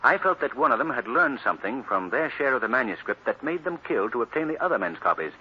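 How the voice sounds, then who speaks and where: An actor playing a decisive, analytical gentleman sleuth in an old radio mystery, urbane and assured. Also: medium pitch, big pitch swings, fast, close to an everyday voice. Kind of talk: 1940s radio mystery acting. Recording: dull, noisy old radio recording.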